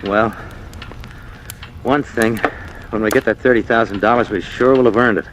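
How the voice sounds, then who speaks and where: An actor playing a bitter, put-upon husband in a 1940s radio drama, with a sardonic, exasperated manner. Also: male voice, lowish voice, steady tone, moderate pace, lo-fi sound, natural voice. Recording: noisy vintage radio recording.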